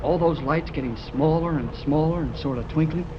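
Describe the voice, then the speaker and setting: An actor playing an excited young radio enthusiast in a 1930s radio serial, full of gee-whiz slang, from an old recording. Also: male voice, on the high side, normal speed, lo-fi sound, background noise, natural voice. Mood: eager. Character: eager young male radio enthusiast, wide-eyed.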